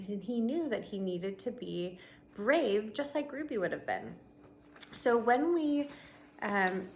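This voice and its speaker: A woman with a fairly high voice reads a children's story aloud in the manner of a schoolteacher. She is expressive, pauses deliberately for drama and slips into casual explanation. A faint noise sits behind her in the recording. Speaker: teacher reading aloud to pupils. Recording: noticeable background noise.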